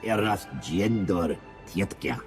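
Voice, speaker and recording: Solemn alien male leader for a game cutscene: slow, bottomless voice, faint music behind. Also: deep voice, animated. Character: deep, grave alien male leader speaking alien tongue. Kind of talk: video game cutscene acting. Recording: long reverb, music behind.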